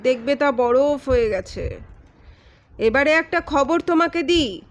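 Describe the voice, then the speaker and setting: A woman playing a commanding, sardonic male detective who makes deliberate, authoritative accusations in an audio story. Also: high voice. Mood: taunting, then measured, accusing.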